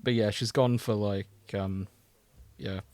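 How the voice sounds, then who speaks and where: A man's voice: lowish voice, fairly even intonation, slow pace, darkish tone, dry recording. A man co-hosting a podcast, chatting casually about a TV series in an informal, sweary, wry way. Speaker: podcast co-host.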